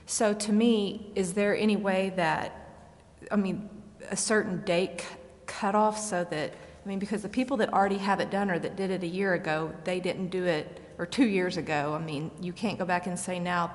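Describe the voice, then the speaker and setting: A woman council member asking questions at a public meeting, informal and halting as she thinks aloud. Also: on the low side. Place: indoor council chamber. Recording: reverberant meeting chamber, faint noise.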